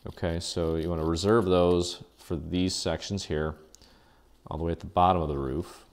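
A man hosting a casual step-by-step paper craft tutorial, chatty and relaxed, thinking aloud with fillers as he works. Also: low-pitched, moderate pace.

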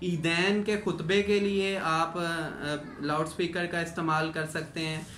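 A man in his thirties with a Pakistani accent, a lawyer explaining law to camera in a formal, halting way with frequent pauses. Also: a little high, flat delivery, a bit bright. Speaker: lawyer presenting legal advice. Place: small tiled office, indoors. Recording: echoey room with noticeable background noise.

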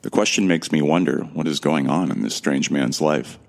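A man with an extremely deep, gravelly voice narrates a sci-fi audio drama in the first person. He sounds earnest and a little lost as he tells the story. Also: moderate pace, a little mellow. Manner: earnest, bewildered. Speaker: narrator and lead actor.

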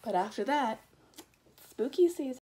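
A teenage girl from Canada with a hoarse, lost voice, chatting casually in a vlog to a handheld camera. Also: lively intonation, normal speed. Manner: low and half-whispered at home, louder and livelier on the go. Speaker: vlogger. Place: bedroom, car and school. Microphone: handheld camera at arm's length.

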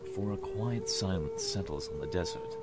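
A man's voice: low-pitched, wildly swooping pitch, normal speed, loud music behind the voice. A man giving scripted audio drama narration in a literary, dramatic storytelling manner.

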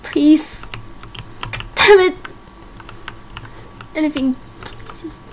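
A frightened young boy, acted by a woman, high-pitched and slow. He stammers and pleads in a game roleplay, with noticeable noise behind him. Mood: panicked, desperate. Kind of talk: amateur game roleplay acting.

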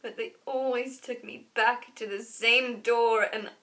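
A woman performing a dramatic monologue as a wistful, haunted murdered fourteen-year-old girl, halting and often whispery, with long pauses. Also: a little high, fairly even intonation, fairly bright tone. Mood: frightened, distressed. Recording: hissy background noise.

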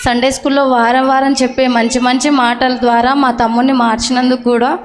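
Woman voicing a high, sweet big-sister puppet. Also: level tone, brisk, a crowd in the background, lightly acted. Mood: grateful, sweet. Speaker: puppeteer in a children's Bible school show. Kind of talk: live children's puppet show.

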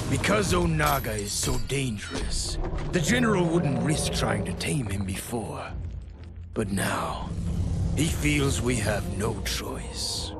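An actor playing a fanatically loyal rebel soldier, telling his story in a grave, devoted way for a video game. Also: medium pitch. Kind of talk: scripted game story narration. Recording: loud music behind the voice.

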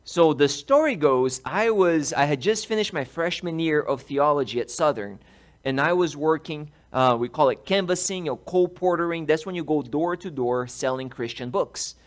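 Man in his thirties from Brazil, animated pastor preaching a story-led sermon in a church, headset mic, voice a touch high. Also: moderate pace. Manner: animated, gestures while speaking. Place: large church sanctuary, indoors.

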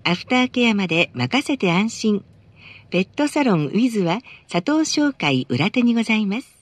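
A woman's voice: mid-range voice, very animated, normal speed, no room sound. A woman reading scripted advertising announcements for a bus, politely and formally.

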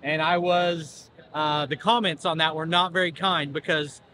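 Bright-voiced Kansas farm host. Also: male voice, fairly high pitch, fairly even intonation, moderate pace, slight background noise. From Southeast Kansas. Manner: easygoing, plainspoken, enthusiastic. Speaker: farm equipment channel host. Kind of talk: casual walkaround chat at a trade show. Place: large indoor expo hall. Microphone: handheld camera close up.

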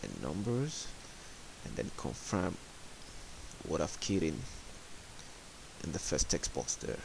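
A man's voice: very deep voice, very animated, slow. A man narrating a step-by-step screen tutorial in a plain, instructional and slightly hesitant way. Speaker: tech support tutorial presenter. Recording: hissy home voice-over recording. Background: clear background hiss.

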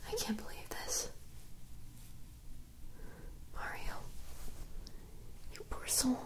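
A young woman playing a fantasy woman who is puzzled by modern things, whispering softly into a close mic with dramatic, playful reactions. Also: very slow. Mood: alarmed. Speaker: ASMR roleplayer. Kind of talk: ASMR roleplay.

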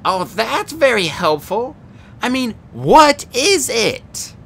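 A narrator voicing a sharp, skeptical teenage girl with a sarcastic edge. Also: mid-range voice, big pitch swings, slow, vocal fry, slight background noise, voice pushed up, heavily acted. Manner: sarcastic, clipped.

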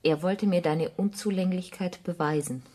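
A female actor as a sombre woman whose love has soured, in a radio drama. Her voice sits a little low and is noticeably husky. She speaks with measured, deliberate gravity. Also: fairly even intonation, dark tone, natural voice. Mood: firm, resigned. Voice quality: husky, breathy. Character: disillusioned, grave woman ending a love affair. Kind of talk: German radio play acting, intimate dialogue.

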